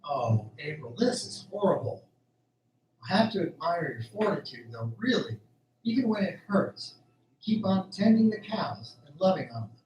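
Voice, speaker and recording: A man's voice: high-pitched, very animated, normal speed, darkish tone. A man playing an earnest, stubborn farm worker in an audio drama. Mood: sympathetic. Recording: roomy, distant, noisy amateur recording.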